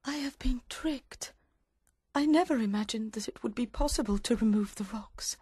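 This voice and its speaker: A man voicing a distressed young noblewoman in a storybook, tragic yet resolute, in a breathy, soft tone. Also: very high voice, moderate pace, no room sound, voice pushed up. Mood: anguished.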